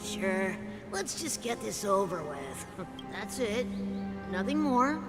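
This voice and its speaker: Scornful game merchant, very animated pitch, slow taunting delivery, sarcastic, loud music behind. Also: female voice. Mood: mocking. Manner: sarcastic, confrontational. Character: brash, defiant, mocking woman merchant. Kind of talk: dramatic game voice acting.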